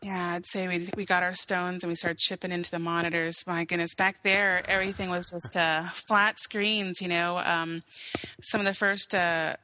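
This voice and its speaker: An American woman from Hawaii, answering as an interview guest in a relaxed, chatty way with many ums. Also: lowish voice, normal speed, dry recording, rough recording.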